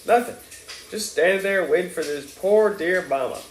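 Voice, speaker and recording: A man's voice: high voice, moderate pace, echoey room, a little hiss or hum, voice pushed up, natural voice, low-quality recording. A man reading aloud and voicing a chatty, doting middle-aged Southern mother in a gushing, folksy way. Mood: fussy gossip.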